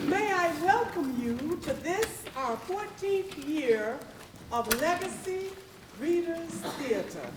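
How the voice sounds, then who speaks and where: Slow, high-pitched woman giving a formal welcome. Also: very animated. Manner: oratorical, deliberate, measured phrasing. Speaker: host of a readers theatre. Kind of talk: formal stage welcome address. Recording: roomy, distant mic, faint noise.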